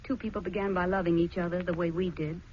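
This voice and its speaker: An actress playing a sensitive, cultured married aunt who speaks earnestly and articulately in a 1940s radio drama. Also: female voice, fairly low pitch, steady tone, fast talker, a little mellow, lightly acted. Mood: hurt, earnest. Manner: earnest, articulate, impassioned phrasing. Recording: dull, noisy 1940s radio recording.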